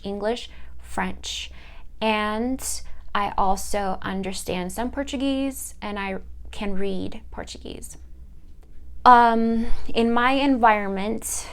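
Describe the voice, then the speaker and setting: A young woman whose first language is Italian, giving a reflective personal monologue for a class, halting often to search for words. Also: medium pitch, smooth. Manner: halting, searching for words with many fillers and restarts. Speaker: student.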